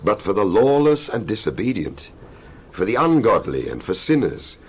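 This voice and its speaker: A man giving a dramatized scripture reading as a Bible narrator, solemn and emphatic, with measured oratorical phrasing. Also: fairly low pitch, very animated, slightly rough. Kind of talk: dramatized scripture reading, King James English.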